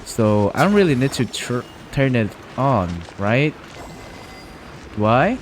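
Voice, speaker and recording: A man's voice: mid-range voice, sing-song, slow pace, a little hiss or hum. A man streaming live gameplay, chatty and playful, talking to himself as he plays. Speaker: game streamer.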